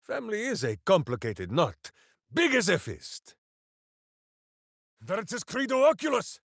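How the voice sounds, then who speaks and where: Boisterous hamster-loving ranger; measured, deliberate delivery, voice leaps wildly in pitch. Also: male voice, huge pitch swings, vocal fry, fairly bright tone, no echo, clean studio sound, heavily acted. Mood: heroic. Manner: booming and theatrical, refers to himself in third person. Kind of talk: video game voice lines.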